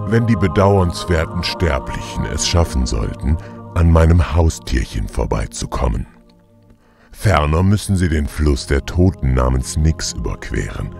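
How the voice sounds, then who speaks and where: A man's voice: rumbling low, vocal fry, full character voice. A man playing a sardonic, menacing god of the underworld in an audio drama, with dry sarcasm. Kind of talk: German audio drama acting.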